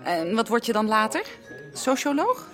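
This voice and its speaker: Very animated, nosy aunt. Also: female voice, a little high, moderate pace, faint music behind. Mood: sociable, prying. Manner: effusive, inquisitive. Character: genteel, nosy, gushing middle-aged aunt. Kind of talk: radio drama acting.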